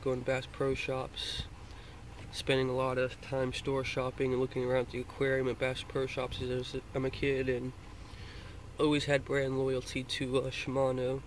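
A young man from Florida casually showing off his fishing gear, rambling with lots of hesitant fillers, filmed on a handheld phone inside a car. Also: medium pitch. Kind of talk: casual show-and-tell. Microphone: handheld phone pointed down at gear. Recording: noisy phone recording in a car.

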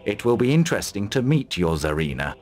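A man playing a gruff, confident mercenary commander, curt and dry, in a fantasy video game. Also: lowish voice, sing-song, a little fast, darkish tone, soft background music. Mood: dry, wary.

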